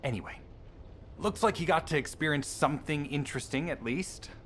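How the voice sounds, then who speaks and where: A man's voice: a little high, a little fast, a bit bright, a little hiss or hum, lightly acted. An actor playing a snide, petulant male researcher in a video game cutscene, with a sarcastic drawl. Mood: snide, intrigued.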